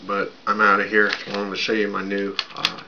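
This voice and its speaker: A raspy man in his thirties rambling casually at his webcam in a small bedroom, with lots of fillers while he drinks. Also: lowish voice, completely flat delivery, fairly quick. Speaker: vlogger. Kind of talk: casual show-and-tell vlog. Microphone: webcam at desk distance. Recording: dull lo-fi sound with background noise.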